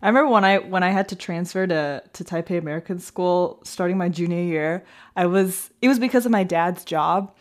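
A woman in her twenties hosting a podcast interview, relaxed and friendly, sharing her own stories. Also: on the low side, fairly quick. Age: 20s. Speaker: podcast host.

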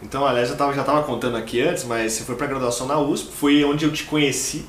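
A man's voice: medium pitch, steady tone, quick pace, slight background noise. A Brazilian man hosting a casual interview in an enthusiastic, upbeat way. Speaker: host. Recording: roomy, faint music behind.

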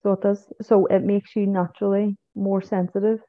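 A woman's voice: medium pitch, little pitch movement, moderate pace, dry recording. A woman with a Northern Irish accent, a guest chatting casually in an interview, who repeats herself and tags sentences with "you know" and "so it is". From Northern Ireland. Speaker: podcast guest, psychic medium. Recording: tinny phone-like line.

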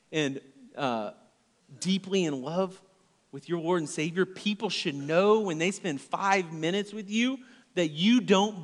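A male pastor preaching a sermon in a church, his delivery earnest and swinging from loud emphasis to hushed, near-whispered lines. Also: fairly high pitch, in a large hall.

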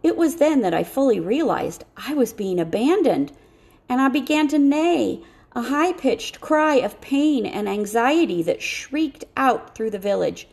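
A woman reading scripted first-person story narration as an audiobook narrator, expressive and careful. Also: sits high, measured pace, creaky.

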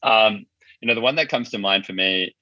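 A man with a deep but bright, forward voice talks casually as an interview guest. He explains his thoughts informally, working them out as he goes. The sound is close and dry, a little muffled and lo-fi. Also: fairly quick, no echo. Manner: informal, explanatory, thinking aloud. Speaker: podcast guest, marketing agency founder.

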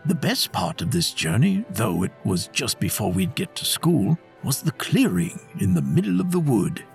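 Male narrator for children's audio story: hugely animated, dramatic, mid-pitched with some fry. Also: normal speed, faint music behind.

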